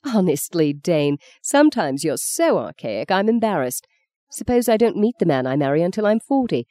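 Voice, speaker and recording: A woman reading a story, voicing a sharp-tongued, defiant young woman who is a budding actress, in a cutting, exasperated manner. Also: lowish voice, very animated, normal speed, no room sound. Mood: teasing.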